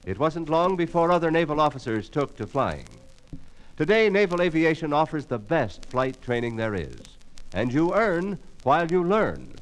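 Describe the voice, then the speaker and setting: 1950s American radio announcer, higher-pitched man. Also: very animated, normal speed, low-quality recording. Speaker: announcer for navy recruiting spot. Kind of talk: scripted 1950s radio advertisement. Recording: vintage 1950s radio transcription.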